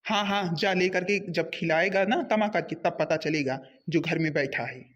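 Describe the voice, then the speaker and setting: A man's voice: on the high side, normal speed, fairly bright tone, lightly acted. A man voicing an angry, domineering elder brother in a family comedy cartoon, scolding and repeating himself bossily. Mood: scornful. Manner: scolding, repetitive, bossy.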